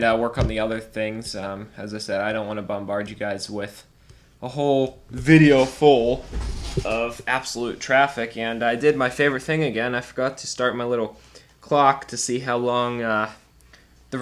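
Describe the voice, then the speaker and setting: A man's voice: medium pitch, fairly bright tone. Stuffed-up man chatting over gameplay. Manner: chatty, laughs often, thinks aloud. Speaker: let's play host. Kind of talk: casual gameplay commentary.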